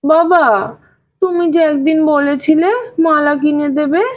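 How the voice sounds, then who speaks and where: A woman voicing a stubborn six-year-old girl in a storybook reading, sharp, insistent and complaining. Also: steady tone, unhurried, lo-fi sound. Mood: demanding. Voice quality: sharp, shrill. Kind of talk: audio storybook character voice.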